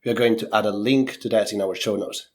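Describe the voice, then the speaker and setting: A man's voice: on the low side, fairly even intonation, quick pace. A man with a sore throat co-hosting a podcast, reading skating news in casual chat with some hedging fillers. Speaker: podcast co-host.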